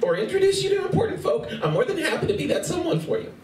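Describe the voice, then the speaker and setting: A very high, swooping voice from an actress as a gushing, flirty female vendor in a radio play. She is giggly and sugary, heard in a roomy hall from off the mic with crowd noise. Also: very animated, fast, recorded from a distance. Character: gushing, flirty pink-clad woman convention vendor. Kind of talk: live radio play acting.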